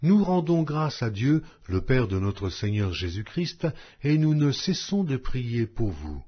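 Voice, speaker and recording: A man reading scripture aloud in a solemn, measured, declamatory way, as for an audio Bible. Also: medium pitch, sing-song, slightly rough, highs cut off.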